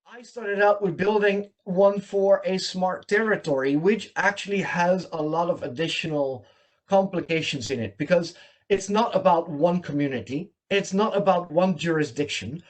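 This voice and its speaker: A man from the Netherlands with a Dutch accent, giving a measured online conference presentation with frequent fillers. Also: high-pitched.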